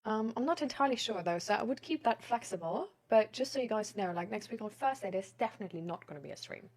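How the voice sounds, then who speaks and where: A woman's voice: mid-range voice, animated, quick pace, a little mellow. A woman livestreamer chatting casually with her viewers in a hesitant, rambling way that often trails off. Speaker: live streamer.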